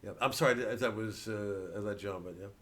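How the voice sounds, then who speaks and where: Comedy role: man as sheepish, apologetic bookish guy, stammering and hesitant, lowish voice. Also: quick pace, a bit bright, lightly acted. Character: sheepish apologetic philosophy-minded man at a club.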